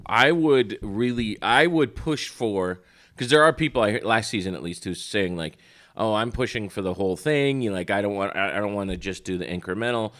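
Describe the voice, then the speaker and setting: A man co-hosting a podcast in casual, chatty talk, his voice slightly shot and hoarse. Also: lowish voice, a little fast, a bit bright. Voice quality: slightly worn, tired-sounding voice. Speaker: podcast co-host.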